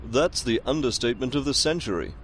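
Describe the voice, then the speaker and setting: A man's voice: mid-range voice, fairly quick, fairly bright tone, slight background noise, close to an everyday voice. A man playing a very proper British narrator in a comedy audio drama, dryly pompous and wordy. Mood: droll, prim.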